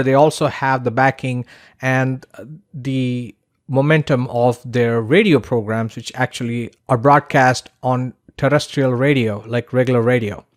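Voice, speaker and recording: A man's voice: medium pitch, no echo, studio quality. A man delivering scripted instructional narration as a podcaster and teacher, in an emphatic, persuasive manner.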